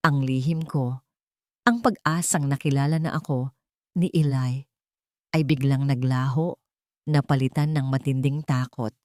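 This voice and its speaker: A woman's voice: extremely low pitch, steady tone, unhurried, long pauses, a little mellow, dry recording, studio quality. A woman reading a scripted, dramatic romance story as its narrator.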